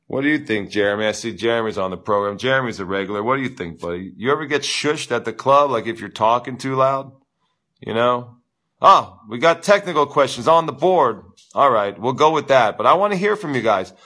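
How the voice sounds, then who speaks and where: A man hosting a live viewer Q&A as a tennis coach, with a big booming voice and an animated, ranting delivery full of repeats and "you know". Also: a little fast. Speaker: tennis coach, show host. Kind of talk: live-stream chat with viewers.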